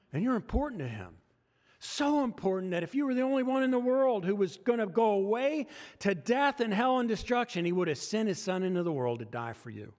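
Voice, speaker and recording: A man's voice: sits high, in a large hall. A man with an American accent preaching a sermon as pastor, talking conversationally and joking with the congregation. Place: church sanctuary.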